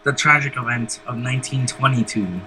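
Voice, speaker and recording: A man's voice: mid-range voice, brisk, a crowd in the background, low-quality recording. A man chatting casually as a gaming sidekick, with playful, mock-solemn remarks. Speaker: co-host friend. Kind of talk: casual joking chat while gaming.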